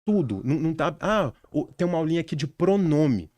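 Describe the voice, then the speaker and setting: A man's voice: on the high side, expressive, fairly quick, a little mellow, dry recording. A young adult man with a Brazilian accent, a podcast guest earnestly sharing study advice in casual conversation, with frequent restarts. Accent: Brazilian Portuguese.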